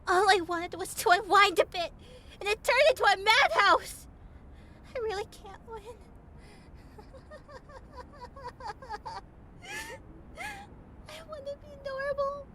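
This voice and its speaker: A woman's voice: extremely high pitch, a little slow, long pauses, pushed and bright, slight background noise, heavily acted. A woman playing a flustered, cheeky teenage idol girl in an anime fan dub, with a girlish, theatrical delivery. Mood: miserable. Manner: exclamatory, theatrical. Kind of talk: anime fandub acting. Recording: music behind the voice.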